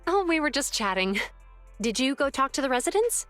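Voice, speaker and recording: A woman's voice: high-pitched, sing-song, fairly quick, fairly bright tone, soft background music. A woman playing an earnest, friendly young public security officer in a video game, speaking sincerely. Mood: friendly, calming. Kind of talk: video game character acting.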